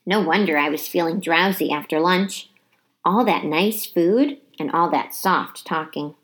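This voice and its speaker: A woman reading a children's chapter book aloud as expressive storybook narration, with dramatic emphasis on key words. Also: fairly low pitch, measured pace, rough recording.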